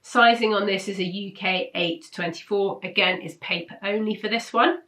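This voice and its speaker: Nasal British woman, bright and chatty. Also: medium pitch, steady tone, normal speed. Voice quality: nasal, congested. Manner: chatty, informal, enthusiastic. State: ill with flu, blocked nose. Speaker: host of a weekly sewing pattern roundup. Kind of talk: casual chat review to camera.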